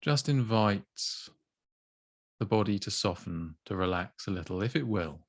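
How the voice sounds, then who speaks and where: Soft-spoken man guiding a meditation. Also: fairly low pitch, animated, no echo, clean studio sound. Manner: slow, gentle, soothing guidance with long pauses. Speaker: mindfulness teacher. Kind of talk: guided body scan meditation.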